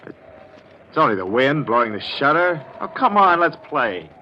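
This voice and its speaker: An actor in a 1940s radio drama playing a stubborn, dismissive man who masks his unease with insistent, halting talk. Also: medium pitch, big pitch swings. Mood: impatient. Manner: insistent, halting under strain. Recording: dull, hissy 1940s radio recording.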